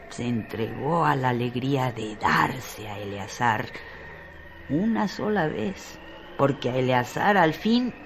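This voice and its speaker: Gravelly man narrating a radio drama. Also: mid-range voice, fairly bright tone. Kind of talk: dramatic scripted literary narration. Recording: faintly noisy radio drama track.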